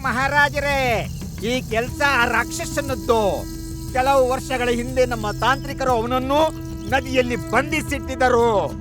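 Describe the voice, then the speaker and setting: A man's voice: extremely high pitch, slow pace, music in the background. A man voicing a deferential animal courtier in a children's cartoon story, explaining respectfully. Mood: anxious, respectful. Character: deferential animal courtier reporting to his king.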